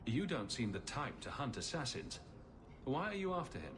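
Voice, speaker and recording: An actor playing a cheeky, sceptical champion in a fantasy game, dry and teasing. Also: male voice, medium pitch, very animated, normal speed, background noise, natural voice. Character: sarcastic male adventurer champion, cheeky and sceptical.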